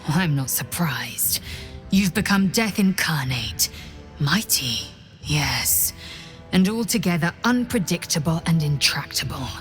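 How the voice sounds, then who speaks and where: A proud, imperious warrior woman from a fantasy game. Her low voice moves slowly in clipped, ominous phrases over soft music. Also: expressive, heavily acted. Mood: ominous appraisal. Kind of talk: game dialogue acting.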